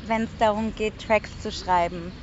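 Casual female podcast interviewer, mid pitch, taped in a park with light ambient noise. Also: steady tone, a little slow. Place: outdoors on a picnic blanket in a park. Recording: dull outdoor recording, faint city noise.

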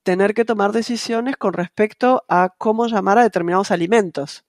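A woman's voice: medium pitch, brisk, darkish tone, no echo. Casting note: middle-aged Argentine woman, translator guest, reflective and hesitant, dry close sound. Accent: Argentine Spanish, softened by years abroad. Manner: thoughtful, many hesitations and fillers, light laughs. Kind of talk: casual interview conversation.

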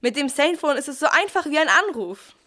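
A woman playing a brisk, managing archangel who narrates a nativity audio play. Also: sits high, sing-song, pushed and bright, exaggerated voice. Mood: salesy, upbeat.

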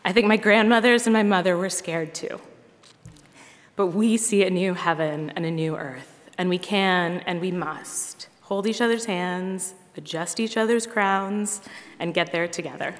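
A woman's voice: fairly low pitch, a little slow. A woman giving an earnest personal testimony to a congregation, speaking in rhythmic repeated refrains. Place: large church hall. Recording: long reverb of a hall.

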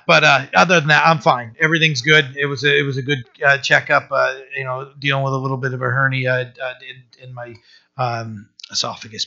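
A man with a scratchy, bright, forward voice teaches a Bible chapter as a pastor. He talks in a loose, casual way and stumbles through lots of fillers and restarts, now and then clearing his throat. The recording sounds a bit dull and lo-fi. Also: fairly high pitch. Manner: halting, many uh fillers and restarts.

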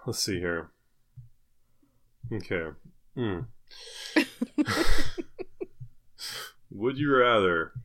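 A man's voice: on the low side, fairly bright tone. A man co-hosting a podcast, chatting casually and jokingly as he poses playful questions. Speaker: podcast co-host. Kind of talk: casual, joking chat posing would-you-rather questions.

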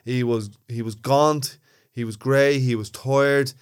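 A man's voice: medium pitch, steady tone, takes it slowly, clear, resonant tone. A man with a Dublin Irish accent, co-hosting a podcast in casual, blunt and earthy chat, often repeating words as he starts a thought. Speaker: podcast co-host.

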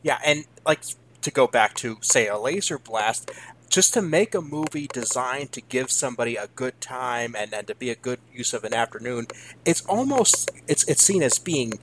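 A man in his thirties hosting a casual interview, speaking haltingly as he searches for words. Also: medium pitch, expressive, fairly bright tone, slight background noise, poor audio. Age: 30s. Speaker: interview host.

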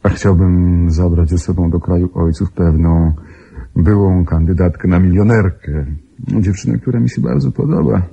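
An actor playing a self-assured, gently teasing suitor and amateur sleuth who explains wryly in a radio play. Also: male voice, deep voice, normal speed, creaky, slightly rough, heavily acted. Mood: gentle, reassuring. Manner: explanatory, wry. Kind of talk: Polish radio drama acting.

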